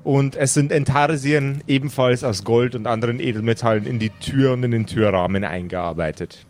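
A man's voice: mid-range voice, moderate pace, a little mellow, a little hiss or hum. A man narrating an improvised tabletop adventure as game master.

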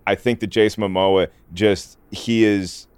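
A man's voice: lowish voice, fairly even intonation, measured pace, darkish tone, clean tone, dry recording. A man co-hosting a movie podcast, enthusiastic and opinionated in casual chat. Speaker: podcast co-host, movie critic.

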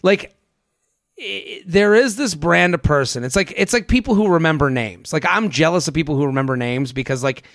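A man's voice: on the high side, expressive, moderate pace, vocal fry, a bit bright, dry recording, clean studio sound. A man hosting a podcast in a casual, comedic advice-giving style, riffing and repeating phrases. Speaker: podcast host. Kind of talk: casual comedic advice chat, sometimes reading listener emails.

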